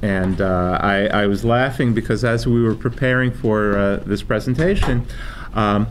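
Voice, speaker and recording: A man's voice: lowish voice, moderate pace. A man introducing a public workshop as a financial planner, joking about himself, with a New York accent and plenty of fillers. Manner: self-deprecating, joking, many fillers. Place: library meeting room. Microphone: microphone on stand. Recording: noisy room recording.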